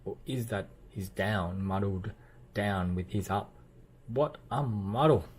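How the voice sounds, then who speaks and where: A man reading a children's storybook aloud as narrator, with expressive delivery and playful emphasis. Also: fairly low pitch, slow pace, slight background noise. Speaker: children's book reader.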